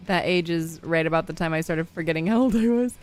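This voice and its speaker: A woman's voice: low voice, fast talker, a little mellow, clear, resonant tone, faint music behind. A woman in her thirties streaming a game live, chatting casually with her viewers and laughing often. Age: 30s. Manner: chatty and upbeat, laughs often, lots of "like" and "you know".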